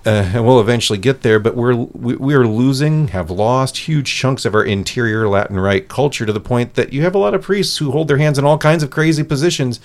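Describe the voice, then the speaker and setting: Earnest priest explaining at length. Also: male voice, mid-range voice, fairly quick, hardly any pauses. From central Iowa. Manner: earnest, explanatory, with frequent restarts. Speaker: priest guest. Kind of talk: studio podcast conversation. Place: radio studio.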